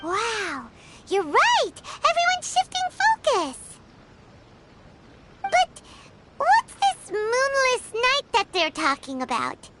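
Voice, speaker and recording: A woman voicing a tiny floating female companion who talks about herself in the third person, excitable and chatty in a video game. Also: extremely high pitch, slow pace, pauses often, very noisy, full character voice. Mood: curious.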